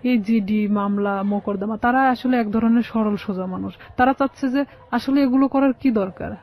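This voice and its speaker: A woman's voice: on the high side, normal speed. Female interview guest, Bangladeshi accent, personal roundabout storytelling, dull lo-fi sound. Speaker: guest telling her life story.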